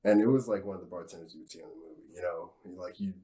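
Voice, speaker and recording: A man's voice: sits low, very fast, mellow. A young man telling an anecdote casually, in a chatty, jokey way. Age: young adult. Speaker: student guest, fiction genre editor.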